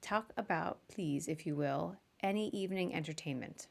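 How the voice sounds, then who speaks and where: A woman's voice: on the low side, normal speed, creaky. A woman hosting a podcast, interviewing a guest in a casual, chatty and informal way. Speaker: podcast host.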